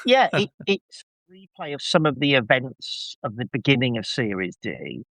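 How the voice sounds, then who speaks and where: A man's voice: medium pitch, big pitch swings, normal speed, creaky, fairly bright tone, no room sound, poor audio. A man talking as a podcast guest in casual discussion, enthusiastic and emphatic with a wry edge.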